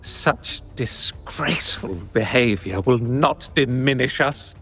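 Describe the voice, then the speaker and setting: A man's voice: medium pitch, expressive, slow, pushed and bright, breathy edge, heavily acted. An actor playing a pompous male head of an art exchange, speaking in a formal, indignant, declamatory way. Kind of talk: video game voice acting. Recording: game audio with faint background music. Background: faint music.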